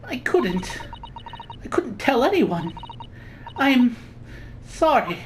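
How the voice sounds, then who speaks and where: A woman's voice: on the high side, very animated, extremely slow, slight background noise, pitched above the performer's own voice, full character voice. Woman putting on an anxious, lilting Russian young woman. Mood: apologetic, upset. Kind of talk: reading game dialogue aloud in character.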